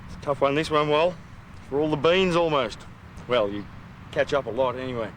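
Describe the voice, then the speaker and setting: A man, exclamatory and sardonic, chatting and joking casually outdoors. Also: brisk. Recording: noisy outdoor recording, rumbling vehicles.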